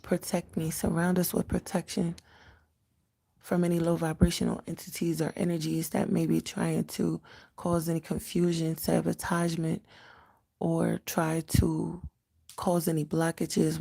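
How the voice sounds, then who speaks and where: Raspy, gravelly-voiced woman medium. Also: low voice, monotone, moderate pace, mellow. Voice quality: raspy, cracking voice. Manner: earnest, prayerful, repeats invocations. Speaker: spiritual medium. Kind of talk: livestream spiritual reading and prayer.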